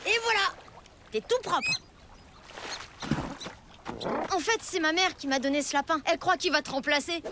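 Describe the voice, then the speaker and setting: Excitable cartoon cave boy, affectionate, very high, wildly animated, loud music behind. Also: brisk, heavy background noise. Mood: reassuring. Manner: exclamatory, emphatic. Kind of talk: French animated series acting.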